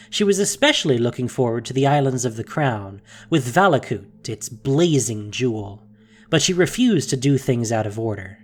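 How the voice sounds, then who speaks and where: A narrator reading a scripted fantasy story in a measured, dramatic way. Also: mid-range voice.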